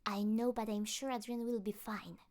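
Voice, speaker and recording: A woman voicing a tiny female fairy sidekick in an audiobook, caring, brisk and reassuring. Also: a little high, level tone, a little mellow, pitched above the performer's own voice, close to an everyday voice. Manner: urging, reassuring.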